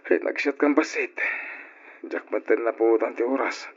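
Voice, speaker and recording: An actor playing an evasive, hard-drinking husband with an offhand colloquial delivery in old radio drama style. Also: male voice, medium pitch, normal speed, natural voice. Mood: tipsy, sullen. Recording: muffled, noisy old radio recording.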